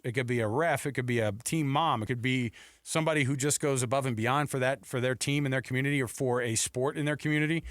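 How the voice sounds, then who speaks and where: Casual American sports radio host, close dry sound. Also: male voice, medium pitch, no echo.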